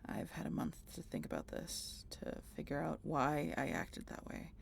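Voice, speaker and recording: A woman playing a guarded, sardonic century-old vampire in an intimate audio roleplay, halting and casually profane as she talks to the listener. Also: sits low, big pitch swings, vocal fry, slight background noise, full character voice. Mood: awkward, reluctant.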